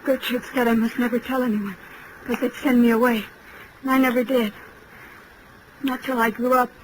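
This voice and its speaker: A woman's voice: a little high, fairly even intonation, moderate pace, natural voice. An actress playing a troubled, haunted young woman in an overwrought, melodramatic 1940s radio detective drama style, on a noisy old recording. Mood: dazed, confessing. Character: troubled, haunted young woman who believes herself evil.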